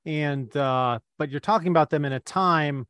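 A man's voice: a little high, moderate pace, fairly bright tone, clean tone, dry recording. A man hosting an interview podcast, loose and joking, with plenty of fillers as he sets up questions. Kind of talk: casual interview.

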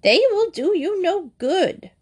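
A woman's voice: very high-pitched, very animated, slow pace, voice pushed up, heavily acted. A woman doing a gloating old witch. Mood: commanding. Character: wicked old witch, bony and greedy.

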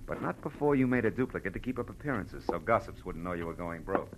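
Hardboiled american radio gumshoe, deepish voice, really animated, blunt and wry, old-time show. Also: male voice, quick pace, a little hiss or hum, rough recording. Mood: accusing, pressing. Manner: clipped, tough, wry delivery. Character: hardboiled male private detective, blunt, shrewd, world-weary. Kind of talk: 1940s radio detective acting and narration. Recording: vintage radio recording with faint music.

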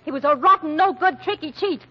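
An actress playing a spiteful, hot-tempered grown daughter in a sharp, theatrical old radio style. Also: female voice, high voice, a little fast, close to an everyday voice. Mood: spiteful, indignant. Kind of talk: 1940s radio acting. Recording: dull lo-fi old radio recording.

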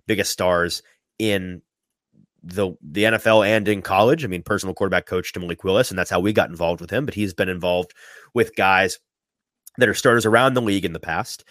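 A man hosting a sports talk podcast, sniffly from a sinus infection, animated and often repeating his first words as he starts a thought. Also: deep voice, fairly quick, dry recording, clean studio sound. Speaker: podcast host.

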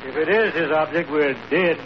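Guy doing a wisecracking waiter, old radio comedy, highish voice, dry and needling, muffled hissy recording. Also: normal speed, lightly acted. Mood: wry. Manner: dry wisecracks, folksy grammar. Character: wry, sardonic tavern waiter needling his boss. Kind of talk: 1940s radio comedy acting.

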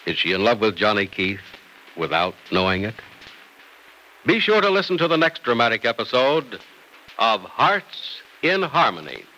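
An American man announcing on old-time radio, delivering narration and sponsor pitches in a polished, emphatic salesman's style. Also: sing-song, normal speed, bright, forceful tone, low-quality recording. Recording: old radio transcription recording.

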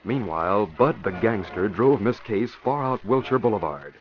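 A man's voice: on the low side, animated, normal speed, muffled recording. Male vintage radio narrator over music. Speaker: announcer-narrator. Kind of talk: scripted dramatic radio narration.

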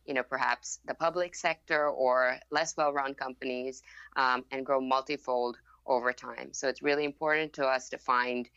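Young Thai woman, gravelly and bright. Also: a bass voice, steady tone, dry recording. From Thailand. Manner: measured, many fillers like "you know" and "um". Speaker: guest, fund founder. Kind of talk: podcast interview. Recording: dull lo-fi remote audio.

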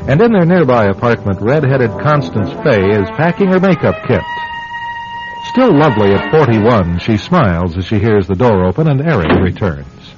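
A man's voice: mid-range voice, big pitch swings, normal speed. A man narrating and hosting a radio drama from a script, in old-time storytelling style. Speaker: host and narrator. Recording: muffled radio sound with music behind.

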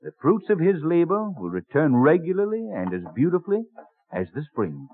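A dignified, genial colonial gentleman in a vintage radio play. His delivery swings freely in pitch, courteous and earnest, through a thin, narrow old broadcast sound. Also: wildly swooping pitch, normal speed, soft background music. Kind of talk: old-time radio drama acting.

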